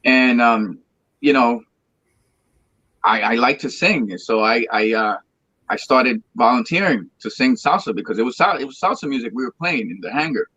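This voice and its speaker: A man's voice: mid-range voice, lively intonation, normal speed, pushed and bright, slightly rough, no room sound. Man on dull, dry call audio. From Colombia. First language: Spanish. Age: 30s. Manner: rambling, repeats words and restarts often. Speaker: guest singer. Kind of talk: casual interview chat.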